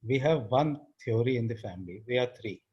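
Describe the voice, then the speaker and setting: A man in his fifties from northern India with an Indian English accent, speaking as an interview guest in a halting way, often trailing off quietly. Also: medium pitch, animated, breathy edge, lo-fi sound. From India (Uttar Pradesh). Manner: halting, frequent fillers and restarts, trails off quietly. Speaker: interview guest, business founder. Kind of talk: casual interview talk.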